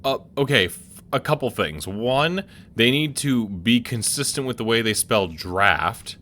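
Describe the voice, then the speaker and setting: A man's voice: mid-range voice, lively intonation, unhurried, sharp tone, slight background noise. A man hosting a casual gameplay video, musing aloud and chatting about what he sees. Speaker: gaming video host. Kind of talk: casual let's play commentary.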